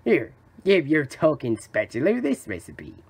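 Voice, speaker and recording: A man's voice: on the high side, huge pitch swings, a little slow, gravelly, breathy edge, no echo, full character voice. A man voicing a greedy old crab boss in a cartoon, crafty and bossy, with salty sailor talk. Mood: suspicious, crafty. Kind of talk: cartoon fan voice acting.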